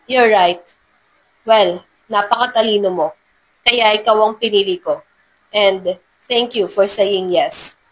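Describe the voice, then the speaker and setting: A woman's voice: mid-range voice, slow, long pauses, a bit bright, rough recording. A woman voicing a wealthy male CEO in a romance story, earnest and apologetic, mixing in English phrases. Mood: admiring, earnest. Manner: earnest, code-switches into English. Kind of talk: dramatized romance story narration.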